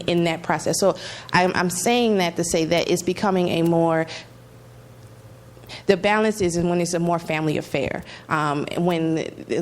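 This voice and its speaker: A woman with a low-pitched voice speaks on a panel at a public address. She gives a considered answer in a halting manner, often repeating words as she works through it.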